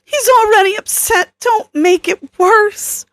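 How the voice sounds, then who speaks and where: A woman's voice: very high voice, measured pace, a bit bright, dry recording, voice pushed up. A woman voicing an urgent, defiant young woman animal expert who pleads and scolds emphatically in a dramatic story reading. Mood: distraught.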